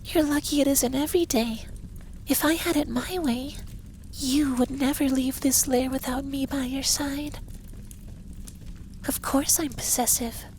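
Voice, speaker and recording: Man voicing a high, soft effeminate dragon boyfriend. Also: steady tone, unhurried, long pauses, a little hiss or hum, low-quality recording. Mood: stern, possessive. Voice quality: soft, breathy, lapsing into whispers. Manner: commanding, teasing, tender. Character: effeminate young male dragon lover, possessive, stern but caring. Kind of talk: ASMR roleplay, intimate and slow.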